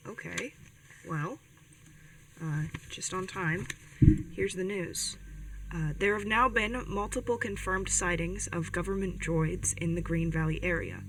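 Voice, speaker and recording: A woman's voice: on the low side, unhurried, long pauses, a bit bright, slight background noise, rough recording. A woman playing an earnest, caring older sibling who hosts a community radio show in an audio drama, chatty and a little hesitant. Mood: worried, urgent. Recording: music bed behind the voice.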